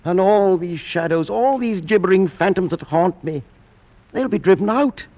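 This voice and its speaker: Nervy haunted aristocrat, highish voice, theatrical old radio horror, muffled vintage sound. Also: male voice, lively intonation, darkish tone. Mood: feverish excitement. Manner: theatrical, halting, swinging between weary and frenzied. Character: Roderick Usher, frail, haunted, feverish nobleman with frayed nerves.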